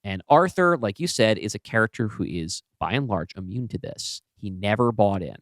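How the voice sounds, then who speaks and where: A man talking casually and bluntly as a podcast host, with loose swearing and an animated discussion style. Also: lowish voice, moderate pace, no echo, clean studio sound.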